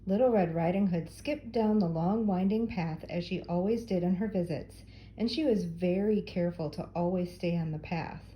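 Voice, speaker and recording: Older woman storyteller, very dark mellow tone, reading a children's tale aloud. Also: lowish voice, moderate pace, slight background noise, rough recording.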